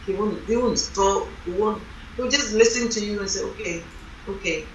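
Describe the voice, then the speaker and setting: A woman reverend leading a panel on marriage, talking in a loud, strong voice and telling stories with emphasis. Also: mid-range voice, moderate pace, slight background noise. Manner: emphatic, storytelling. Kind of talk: panel discussion. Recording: roomy hall from a distant mic.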